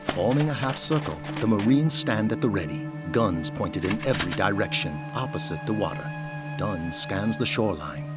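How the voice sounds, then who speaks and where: A man's voice: lowish voice, lively intonation, normal speed. A man reading scripted, dramatic narration for an audio drama. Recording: loud music behind the voice.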